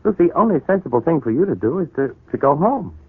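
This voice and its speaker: An actor playing a troubled, guilt-ridden husband in a 1940s radio soap opera, brooding, deliberate and tender. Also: male voice, on the high side, sing-song, breathy edge, no room sound, full character voice. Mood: earnest, pressing. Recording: muffled, narrow 1940s radio broadcast recording.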